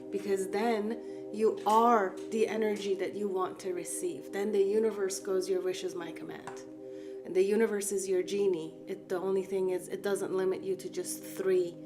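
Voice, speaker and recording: A woman whose first language is Farsi speaks as an art therapy teacher, calm and reflective with gentle emphasis. Her voice sits at a middle pitch. Clear music plays behind her throughout. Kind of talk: guided art lesson talk.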